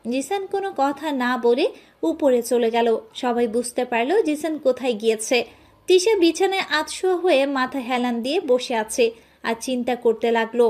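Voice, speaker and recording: A woman's voice: sits high, normal speed, a little mellow. A woman narrating a scripted romantic story as its storyteller.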